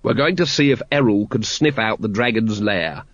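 A man's voice: on the low side, moderate pace, no echo, highs cut off, close to an everyday voice. A man voicing an impatient, weary watch captain in an audiobook, terse and dry.

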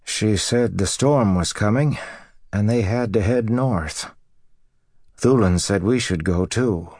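A man's voice: fairly low pitch, slow pace, darkish tone, no echo, studio quality, pitched above the performer's own voice. A man voicing a balding old farmer and husband, dazed, plain and hesitant, in an audiobook. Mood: troubled, uneasy. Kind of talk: audiobook character dialogue.

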